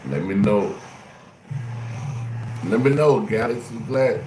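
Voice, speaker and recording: A low-pitched man from Florida hosts a laid-back livestream, full of slang and repeated questions to his chat. His voice bounces around an echoey room. Loud noise runs underneath him the whole time. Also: expressive, slow pace. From Jacksonville, Florida. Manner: loose slangy banter, repeats himself, asks viewers questions. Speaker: livestream host. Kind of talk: casual livestream chat with viewers.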